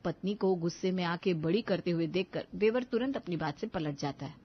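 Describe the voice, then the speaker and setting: A woman's voice: fairly low pitch, brisk, slightly rough. Woman narrator, slightly muffled recording. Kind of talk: scripted story narration.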